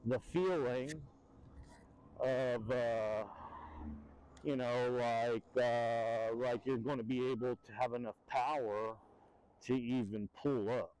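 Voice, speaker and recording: A man's voice: steady tone, takes it slowly, a little mellow, smooth. A man, rambling through a casual e-bike review with many fillers while riding outdoors. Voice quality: very deep voice. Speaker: e-bike vlogger. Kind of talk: casual ride-along review. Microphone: helmet mic. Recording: wind-muffled helmet mic.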